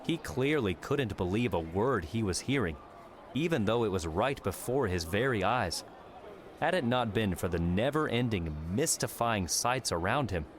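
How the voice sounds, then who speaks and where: A man with a low, deep voice narrates a story in the manner of an audiobook. The reading is scripted, and there is noise behind the voice.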